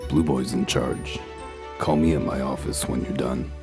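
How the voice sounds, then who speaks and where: A man voicing a gruff, blunt wolf detective in human guise, curt and sardonic, for a comic dub. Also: very deep voice, level tone, normal speed, music in the background. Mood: gruff, commanding. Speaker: investigator. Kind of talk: comic dub character acting.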